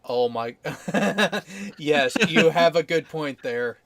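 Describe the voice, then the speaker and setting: A man's voice: on the high side, lively intonation, slow, a bit bright. A man hosting a casual podcast chat, explaining things and giving opinions in an easygoing way. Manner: chatty, explains things, opinionated about sports.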